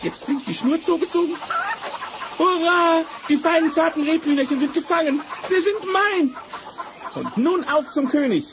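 An actor voicing a boastful, cheerful talking tomcat in a theatrical fairy-tale radio play from the seventies. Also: male voice, very high-pitched, normal speed. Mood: gleeful. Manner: theatrical, self-satisfied, flattering. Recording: noisy old vinyl record rip.

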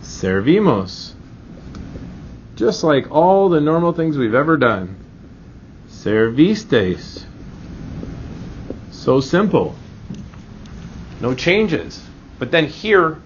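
A man teaching a grammar lesson in an informal, reassuring classroom manner, often checking in with short rhetorical questions. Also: a little high. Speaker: Spanish teacher. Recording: dull lo-fi audio, clear background noise.

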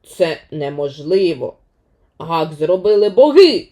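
A discouraged male demigod, put on by a woman storyteller. The voice drops lower and turns blunt and emphatic. He sounds stubborn, in the style of a children's fairy tale. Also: very animated, slow pace, dark tone. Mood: dejected, annoyed.

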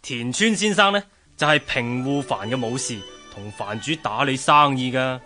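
A man's voice: mid-range voice, normal speed, sharp tone, faint music behind, natural voice. An actor playing a jovial, fatherly older merchant and fixer in a radio drama, genial and avuncular. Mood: genial, advising. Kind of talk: Cantonese radio drama acting.

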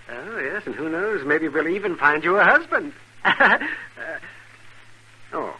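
An actor playing a chatty, fussy, good-natured frontier doctor in a 1950s radio western, folksy and rambling. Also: male voice, a little high, big pitch swings, slow pace, pushed and bright, slightly rough, full character voice. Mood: jovial, fussy. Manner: chuckling, folksy, flustered rambling. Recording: noisy old radio broadcast recording.

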